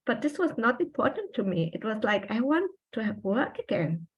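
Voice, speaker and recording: Woman, 40s, native German speaker, podcast guest, reflective, halting with fillers, slightly muffled audio. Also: a little high, very animated, husky. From Austria and Taiwan. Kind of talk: personal interview conversation.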